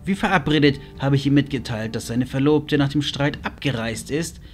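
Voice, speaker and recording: An actor playing a nervous, deferential servant in an audio drama, with formal, servile and halting speech. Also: male voice, medium pitch, slight background noise, close to an everyday voice.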